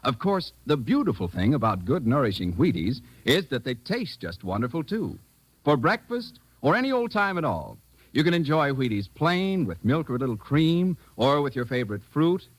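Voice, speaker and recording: A man's voice: mid-range voice, sing-song, normal speed, no echo. An American man announcing in a hearty, salesmanlike 1950s radio commercial style. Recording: vintage 1950s radio recording.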